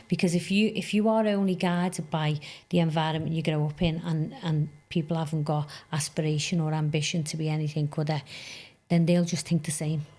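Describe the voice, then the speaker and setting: Low, mellow-voiced woman in her fifties. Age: 50s. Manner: earnest, storytelling, emphatic about goals. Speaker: youth mentor guest. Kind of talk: heartfelt podcast conversation.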